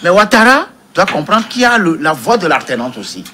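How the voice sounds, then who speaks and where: A man's voice: sits high, very animated, brisk, bright, forceful tone, slight background noise. A man debating politics as a panel commentator, emphatic and repeating words to drive his point home.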